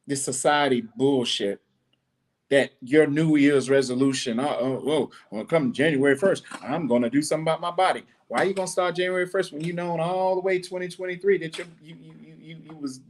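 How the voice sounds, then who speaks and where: A middle-aged man hosting a podcast, giving a blunt, preachy monologue with animated asides. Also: a little high, slight background noise. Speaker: podcast host. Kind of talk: casual monologue.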